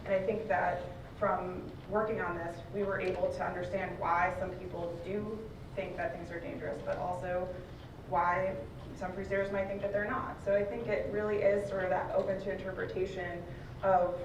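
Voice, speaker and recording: A woman's voice: fairly low pitch, level tone, normal speed, highs cut off. A woman filmmaker explaining her work in an unscripted panel discussion, circling through her points with frequent "like". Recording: roomy, distant mic, clear background noise.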